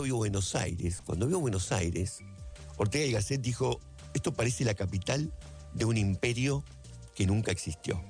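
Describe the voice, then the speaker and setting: Argentine guy, lowish voice, thinking out loud about the country, repeats stuff to hit it home, soft music behind. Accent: Argentine Spanish. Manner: reflective, repeats phrases for emphasis. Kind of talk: spoken essay on history. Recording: faint music underneath.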